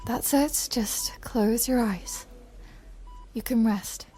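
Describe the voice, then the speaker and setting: A woman acts as a gentle recovery-room nurse, speaking very slowly and softly. Her voice is fairly high yet dark and mellow, with patient reassurance for an ASMR roleplay. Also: rough recording. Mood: soothing. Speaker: voice actor in an audio roleplay. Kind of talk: soft ASMR comfort roleplay.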